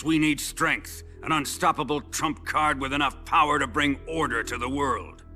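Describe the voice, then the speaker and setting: An actor playing a menacing, grandiose middle-aged Soviet colonel in a video game cutscene, speaking in a pompous, deliberate, theatrical way over music. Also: male voice, medium pitch, close to an everyday voice. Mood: zealous, menacing.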